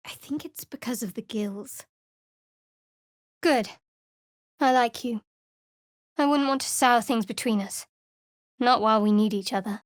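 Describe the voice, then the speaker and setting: A woman playing a guarded, sardonic young woman cleric of a dark goddess in a video game, with a dry manner. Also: fairly high pitch, no room sound. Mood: soft, confiding. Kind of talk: video game character voice acting.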